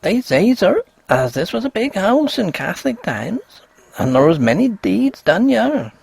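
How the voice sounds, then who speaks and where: A man voicing a superstitious old peasant housekeeper, a stubborn woman with a husky high voice and rustic, foreboding speech in a ghost story. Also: sing-song, unhurried, a little mellow, no echo, exaggerated voice. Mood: ominous, hushed. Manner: rustic dialect, guarded and foreboding.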